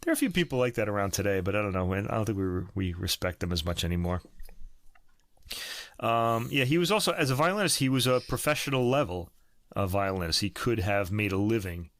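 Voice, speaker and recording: A man's voice: lowish voice, big pitch swings, fairly quick, fairly bright tone, no room sound. A man hosting a podcast, chatting casually about classical recordings, rambling with many fillers and restarts. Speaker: podcast host.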